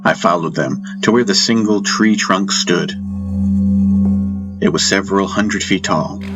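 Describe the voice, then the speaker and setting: A man narrating a fantasy audiobook in the first person, in a measured, dramatic storytelling manner. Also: sits low, loud music behind the voice.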